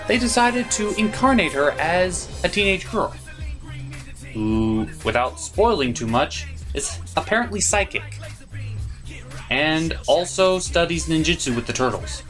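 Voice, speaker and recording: Animated male reviewer over music. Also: on the high side. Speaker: cartoon reviewer, host. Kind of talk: scripted review narration.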